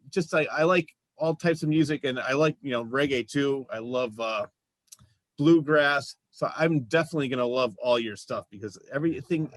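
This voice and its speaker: A male talk show host with a mid-range voice, chatting warmly and enthusiastically with his guest. His voice is close and dry, with no room sound around it. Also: medium pitch, no room sound. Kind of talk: casual interview chat.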